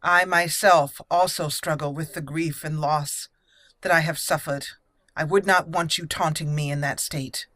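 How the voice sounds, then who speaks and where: A woman voicing an earnest elf mage who leads her party, speaking in a measured, formal manner. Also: deep voice, steady tone, fairly bright tone, no echo. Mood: solemn, reproving.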